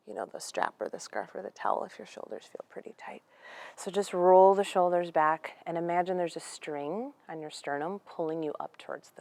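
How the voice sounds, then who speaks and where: A young woman guiding a yoga class in a gentle, unhurried, conversational way. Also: lowish voice, creaky, dry recording. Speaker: yoga instructor. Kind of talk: guided yoga class instruction.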